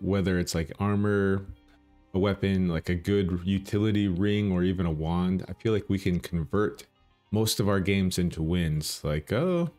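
A low, bassy man's voice talks through a game run in a relaxed, chatty way, thinking out loud and poking fun at himself. Faint music plays quietly underneath. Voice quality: bassy morning voice. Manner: chatty, self-deprecating, thinking aloud. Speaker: gaming channel host. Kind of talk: casual gameplay commentary.

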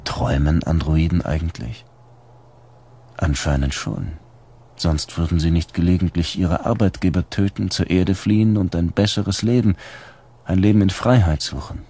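Husky, very deep brooding man. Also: steady tone, normal speed, mellow. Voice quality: husky, low. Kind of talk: radio drama monologue.

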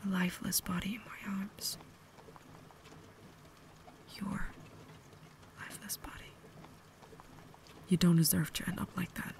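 A woman voicing a cultured, caring, tormented vampire lady in a breathy whisper, with formal, measured diction for an ASMR roleplay. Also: lowish voice, dark tone, slight background noise.

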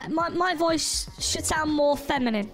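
Guy as a high girly voice changer filter, music in the background. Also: measured pace, fairly bright tone. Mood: casual, explaining. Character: high feminine voice from male-to-female filter. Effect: voice changer, male-to-female filter.

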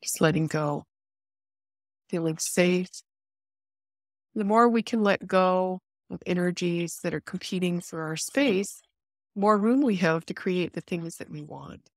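Lower-pitched woman guiding a meditation. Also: no room sound, studio quality. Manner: soothing, unhurried, instructive with pauses. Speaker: guided meditation leader. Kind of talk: guided meditation narration.

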